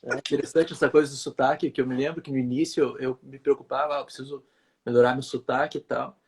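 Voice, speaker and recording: A man from Brazil talks through his work as a physiotherapist in a relaxed interview. His voice is husky, and he hesitates often, repeating words as he explains at length. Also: mid-range voice, fast. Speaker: physiotherapist guest. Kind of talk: conversational interview answer.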